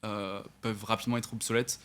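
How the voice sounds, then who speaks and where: Quick-talking young man, lots of fillers. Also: fairly low pitch, level tone, a bit bright, clear, resonant tone. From United States, then France. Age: 20s. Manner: hesitant, many fillers and restarts, self-corrects. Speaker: entrepreneur guest. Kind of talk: casual interview answers.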